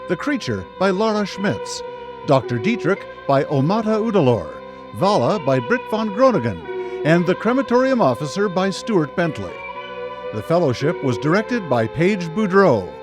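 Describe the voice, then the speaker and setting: A male radio announcer delivers scripted credits with theatrical flair. His voice is fairly high and animated, set over clear music. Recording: music under broadcast announcement.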